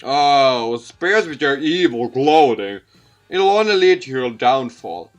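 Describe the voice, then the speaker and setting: A man's voice: medium pitch, animated, slow, faint music behind. A man voicing a cocky, self-assured hero in a battle parody, declaiming pompously. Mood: dismissive.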